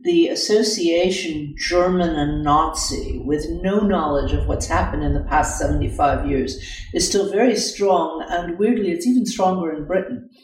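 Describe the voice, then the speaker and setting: An older woman, a scholarly interview guest, speaking thoughtfully and precisely, with deliberate pauses in mid-phrase. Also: low voice, a little mellow. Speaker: guest, moral philosopher and author. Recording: echoey room, distant microphone.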